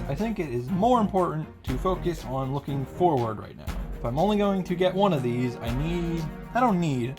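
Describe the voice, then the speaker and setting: A man giving blunt, mocking, sweary reaction commentary on a visual novel. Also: fairly high pitch. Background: game music.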